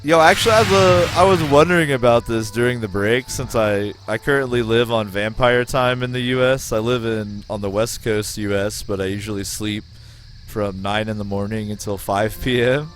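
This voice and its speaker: An American man streaming a game, giving excitable, profane play-by-play and chatting with viewers. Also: fairly low pitch, moderate pace, faint music behind. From United States. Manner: excitable, profane, talks to chat. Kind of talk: casual gameplay commentary.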